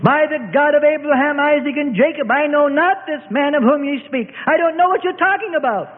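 A frightened, anguished male disciple in a religious radio drama, performed by a man. His voice climbs high as he wails and pleads desperately. It echoes long in a tinny, narrow-band recording. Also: fairly quick. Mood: desperate denial. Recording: tinny phone-like radio sound, long reverb.

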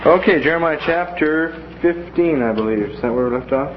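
A man's voice: fairly high pitch, a little fast. A man leads a Bible lesson in a classroom in a folksy manner, with joking asides. The recording is dull and lo-fi, and faint hiss runs beneath his voice. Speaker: Bible teacher.

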